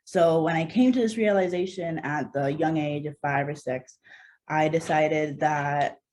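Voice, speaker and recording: A woman's voice: low voice, unhurried, darkish tone. Earnest young woman. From Puerto Rico. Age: young adult. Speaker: student presenter. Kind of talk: conference presentation.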